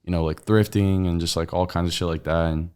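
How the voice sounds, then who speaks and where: A man's voice: low-pitched, steady tone, a little fast, darkish tone, clear, resonant tone. A young man from Brazil with an American accent, a podcast guest talking casually in laid-back slang, full of fillers. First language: Portuguese. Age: young adult. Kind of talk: casual chat.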